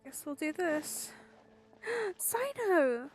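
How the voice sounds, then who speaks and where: A woman's voice: very high-pitched, very animated, drawn-out words, a little mellow. A woman game streamer giving casual playthrough commentary with wry, exasperated asides. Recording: game music behind the voice.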